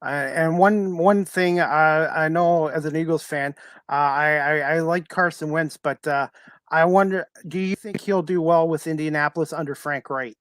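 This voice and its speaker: Male talk show interviewer, slightly high voice, hesitant casual phrasing, dry recording. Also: fairly even intonation, normal speed, a bit bright, no echo. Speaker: sports talk show host.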